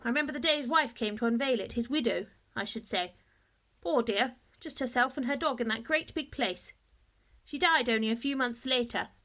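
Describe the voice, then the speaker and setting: A woman's voice: on the high side, normal speed, a bit bright, natural voice. A woman voicing a chatty male history teacher in a school storybook. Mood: gossipy, wistful.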